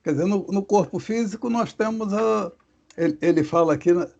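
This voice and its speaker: A man leading a casual religious study discussion, halting and searching for words with many restarts, over a video call. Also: high voice, gravelly, darkish tone. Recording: dull lo-fi call audio.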